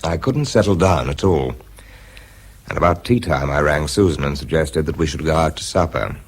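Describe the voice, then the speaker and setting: An actor playing a weary, stubborn government scientist in a radio drama, with a British accent and a clipped, understated delivery. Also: male voice, rumbling low, moderate pace, husky, full character voice. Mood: dry, downcast. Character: weary, stubborn, prickly male government scientist narrating his story. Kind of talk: radio drama acting with first-person narration.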